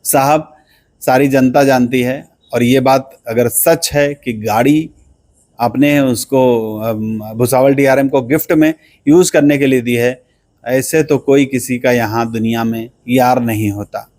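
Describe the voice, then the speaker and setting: A man's voice: medium pitch, fairly even intonation, unhurried, a little mellow, dry recording. A man working as a news reporter, giving spoken commentary directly to viewers.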